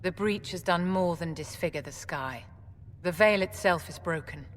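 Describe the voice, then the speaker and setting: An actress playing an elegant, imperious middle-aged enchantress in a video game, with a haughty, deliberate manner. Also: female voice, lowish voice, close to an everyday voice. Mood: stern, advising. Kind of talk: video game dialogue acting. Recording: noisy, long hall reverb.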